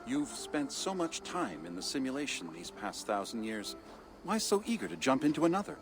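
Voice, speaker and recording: An actor playing a shrewd, guarded modern leader in a video game, speaking with dry humour. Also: male voice, medium pitch, very animated, normal speed, music in the background. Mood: wry, probing. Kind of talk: dramatic video game acting.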